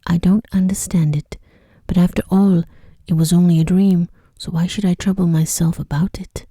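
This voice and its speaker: A woman voicing an innocent, wondering young fairytale heroine in a soft, whispery ASMR storybook reading. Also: fairly low pitch, steady tone, moderate pace, slightly rough, no room sound, clean studio sound. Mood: puzzled musing. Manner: gentle, musing.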